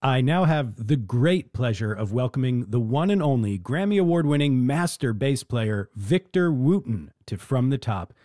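A man's voice: mid-range voice, warm tone, no room sound, studio quality. A man hosting a radio music show, interviewing guests in a polished, encouraging way. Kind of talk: broadcast interview. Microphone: studio mic.

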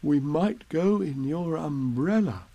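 Storybook bear, slow and humble, unhurried musing delivery, lively lilt, a male reader puts him on. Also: a little high, breathy edge, dry recording, full character voice. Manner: slow and ponderous. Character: slow, modest, simple-minded bear.

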